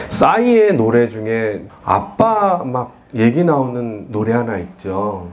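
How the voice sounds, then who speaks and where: A man in his forties speaks to an audience at a book talk, earnest and confiding, often putting himself down. His voice sits low, and a faint hum of room noise lies beneath it. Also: a little mellow. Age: 40s. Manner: earnest, self-deprecating, confiding. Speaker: author giving a talk. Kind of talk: lecture to an audience. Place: lecture room at a book event.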